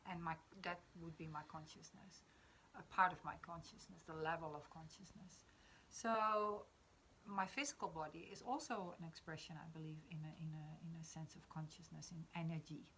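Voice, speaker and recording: A woman in her fifties with a Dutch accent, reflecting in a spiritual conversation, often pausing to search for words. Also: sits low, pushed and bright, a little hiss or hum, poor audio. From Netherlands. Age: 50s. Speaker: discussion participant.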